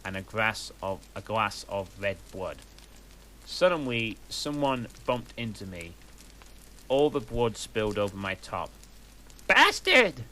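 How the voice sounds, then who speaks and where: A man reads a story aloud in a slow, deadpan manner, giving each line little emphasis. His voice is bright and forward, and the pace is unhurried. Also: fairly low pitch, slight background noise, low-quality recording. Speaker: reader. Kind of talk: comic dramatic reading.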